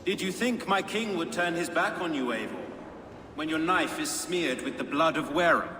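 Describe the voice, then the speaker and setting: An actor playing a devout, loyal Saxon retainer in a video game, disdainful and declamatory. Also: male voice, a little high, expressive, moderate pace, sharp tone. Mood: scornful, calm. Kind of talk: dramatic video game acting. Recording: reverberant hall, faint background noise.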